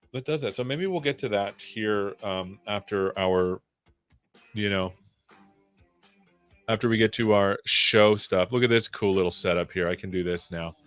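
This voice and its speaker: A man's voice: fairly low pitch, a bit bright, no room sound. A man hosting a casual livestream, rambling playfully with jokey asides. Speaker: live show host. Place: own room at home.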